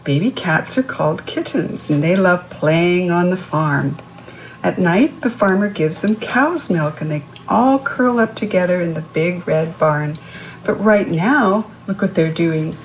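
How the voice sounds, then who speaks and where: Lady reading a kids picture book slowly, explains stuff and asks questions, bit of background noise. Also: on the low side, low-quality recording. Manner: instructive, asks the listener questions and explains words. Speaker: children's read-aloud host. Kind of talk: reading a picture book aloud to young children.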